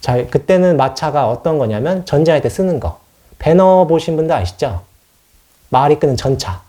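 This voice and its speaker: Mellow, animated male Buddhist monk teaching. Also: on the high side, moderate pace. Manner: explanatory, poses questions and answers them himself. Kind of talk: informal dharma lecture to followers.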